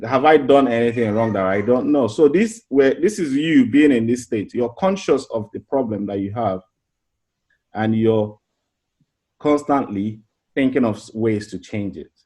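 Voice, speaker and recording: A man's voice: mid-range voice, animated, unhurried, warm tone. A middle-aged man giving an informal motivational class as a life coach, earnest and conversational, often restarting phrases and asking rhetorical questions.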